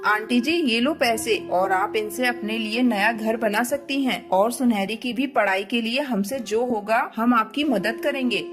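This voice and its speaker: Woman as generous forest bird, cartoon, fairly high, earnest, supportive, music behind. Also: a little slow, hardly any pauses, natural voice. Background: clear music.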